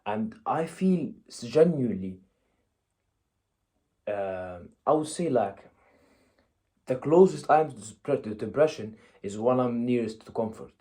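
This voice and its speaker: A man's voice: medium pitch, expressive, unhurried, long pauses, mellow. A young man from Egypt giving a blunt, casual motivational talk, peppering it with "you know" and "yeah?". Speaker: motivational vlogger.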